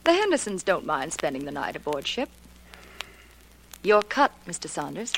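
A woman's voice: low-pitched, very animated, normal speed, vocal fry, a bit bright, exaggerated voice. An actress playing a cool, bold married woman gambler in a 1940s radio drama, self-assured and challenging. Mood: cool, confident. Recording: noisy 1940s radio recording.

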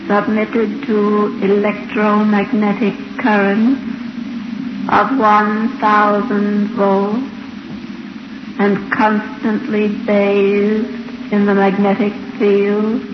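An actor playing the solemn ghost of an old male scientist, speaking in a hollow, measured voice in an old radio drama. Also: high-pitched. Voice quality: hollow, measured, flat delivery. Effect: echo on the voice. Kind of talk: 1940s radio acting. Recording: hissy, muffled old radio recording.

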